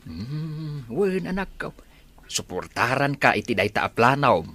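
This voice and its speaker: A man playing a kindly older father in an Ilocano audio drama, gentle and supportive with a husky voice. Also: mid-range voice, big pitch swings, unhurried. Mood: fond, encouraging.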